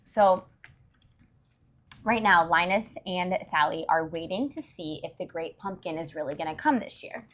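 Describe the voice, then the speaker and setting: A woman's voice: lowish voice, unhurried, fairly bright tone. A woman reading a storybook aloud to young children, with encouraging, explanatory asides.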